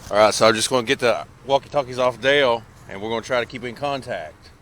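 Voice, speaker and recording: A man talking casually to camera as a folksy hunting vlog host, in a drawn-out way. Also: mid-range voice, a bit bright. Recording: noisy outdoor and vehicle background.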